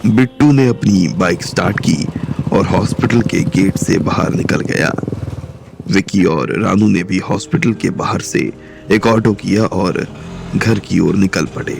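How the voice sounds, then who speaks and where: A man narrating a story with a steady storytelling manner. His voice is very low and gravelly, with faint music running underneath. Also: unhurried. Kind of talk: audiobook narration. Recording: soft music bed underneath.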